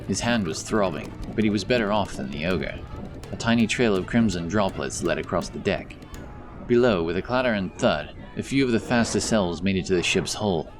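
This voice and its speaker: A man's voice: deep voice, lively intonation, normal speed, over music. A man narrating a scripted fantasy story as the storyteller of an audio drama.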